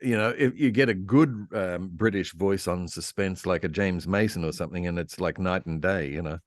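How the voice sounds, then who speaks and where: A man speaking as a guest historian in a casual, informed discussion, with halting, rambling phrasing and repeated words. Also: low-pitched, no room sound, studio quality. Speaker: panel guest, radio historian.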